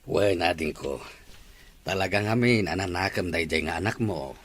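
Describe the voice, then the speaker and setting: A man's voice: deep voice, normal speed, fairly bright tone. A man playing an encouraging middle-aged uncle in an Ilocano radio drama, with a hearty, agreeable manner. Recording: music bed under the dialogue.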